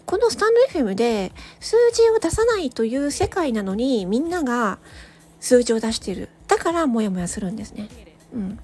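Woman, solo host, higher voice, swooping lively intonation, casual, reflective, hesitant. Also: brisk. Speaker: solo audio channel host, amateur voice actor. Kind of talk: casual reflective chat to listeners.

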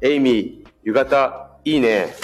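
A man acts a friendly, mild young man in a radio drama. The voice is medium in pitch, with faint music underneath. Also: takes it slowly, natural voice. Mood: friendly, gentle. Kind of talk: bilingual radio drama acting.

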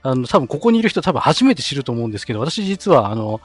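A man's voice: medium pitch, sing-song, fast, soft background music. A man chatting casually as a guest on a group live stream, polite, modest and apologetic.

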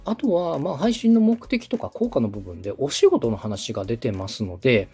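Husky, mellow male podcast coach. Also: medium pitch, sing-song, normal speed. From Osaka, Japan. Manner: polite, methodical, explanatory. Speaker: host, podcast producer coaching a client. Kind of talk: structured advice in a podcast consultation.

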